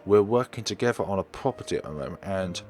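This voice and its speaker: A man's voice: low voice, animated, fast talker, darkish tone, faint music behind. A man voicing a busy, friendly professional woman realtor in a visual novel, apologetic and slightly hesitant. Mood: apologetic, businesslike. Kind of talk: visual novel dialogue read aloud.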